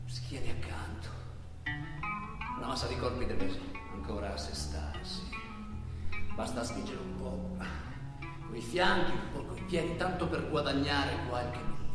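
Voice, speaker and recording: A man's voice: medium pitch, natural voice. A man performing a traveller's vivid, dramatic narration in a theatre monologue. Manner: theatrical, swings from hushed slow phrases to agitated calls. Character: male traveller narrating a crowded desert truck journey, vivid, excitable. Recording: very echoey hall, distant, music behind. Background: music.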